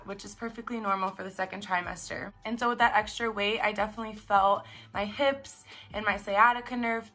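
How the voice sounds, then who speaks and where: A young woman with a raspy, congested voice, chatting casually in a vlog. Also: mid-range voice, sharp tone. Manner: chatty, upbeat, filler-heavy. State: congested, stuffed up. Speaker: vlogger. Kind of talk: casual pregnancy recap vlog.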